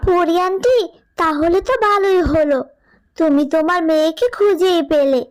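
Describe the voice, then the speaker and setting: A gentle young village girl from a cartoon, very high and childlike in pitch, with a woman acting her out. She talks in an earnest, soft-spoken way, close and dry on the mic with no room around her. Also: measured pace, no room sound, rough recording. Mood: relieved. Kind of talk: cartoon dubbing.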